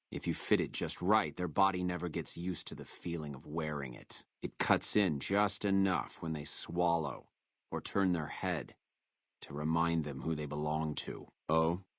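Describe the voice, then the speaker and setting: Casting: proud captured legion officer for a game, very low voice, contemptuous and threatening, dry close sound. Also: male voice, no room sound. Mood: taunting, menacing. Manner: contemptuous, measured, menacing delivery. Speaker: video game voice actor. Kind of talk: video game character dialogue.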